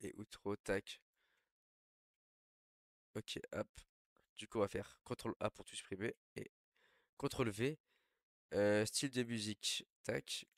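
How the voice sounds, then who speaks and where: A man's voice: on the low side, measured pace, pauses often, dry recording, studio quality. A young man with a cold talking through a casual, rambling screen tutorial, full of fillers. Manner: relaxed, rambling with many fillers. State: stuffy from a cold. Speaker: tutorial host.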